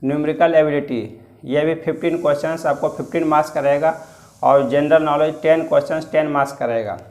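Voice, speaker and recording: A man's voice: a little high, steady tone, brisk, darkish tone. A man with an Indian accent explaining exam guidance as a coaching teacher, repeating and rephrasing his points in two languages. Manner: repeats and rephrases points, switching between Hindi and English.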